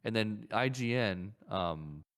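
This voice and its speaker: Male podcast co-host with a low voice, casual gaming talk, hesitant and repetitive as he thinks aloud. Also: lively intonation, slow, fairly bright tone, clear, resonant tone. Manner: hesitant, repeats words while thinking aloud.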